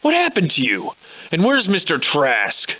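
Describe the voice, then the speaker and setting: Theatrical, surly old-time drunken scoundrel. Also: male voice, a little high, huge pitch swings, a little slow, bright, forceful tone, heavily acted, poor audio. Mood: urgent relief. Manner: florid, old-fashioned, theatrical diction. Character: surly hard-drinking old-time scoundrel, grandiloquent survivor. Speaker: video game protagonist voice actor. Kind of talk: theatrical video game character dialogue.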